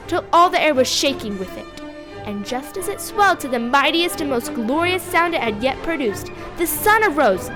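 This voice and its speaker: A young female narrator reading a scripted fantasy story aloud with expressive storybook delivery. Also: high-pitched.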